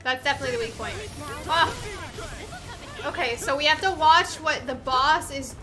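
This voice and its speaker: A woman's voice: high voice, normal speed, crowd noise. A woman giving casual, informal, mildly sweary commentary while she plays a video game. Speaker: let's player. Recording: game music behind voice. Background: video game music and battle audio.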